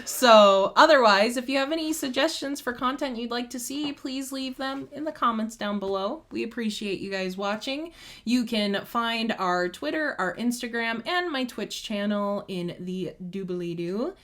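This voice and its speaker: A young woman in her twenties co-hosting a casual chat. Her voice is very bright and forward, and she talks in a chatty, upbeat, giggly way. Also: a little high, expressive, moderate pace. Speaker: co-host.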